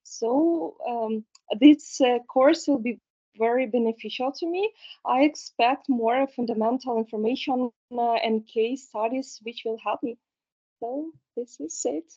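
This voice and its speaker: High, mellow-voiced woman from Ukraine. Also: unhurried, husky. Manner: careful, hesitant, many fillers. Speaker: student. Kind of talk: self-introduction. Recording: dull lo-fi audio.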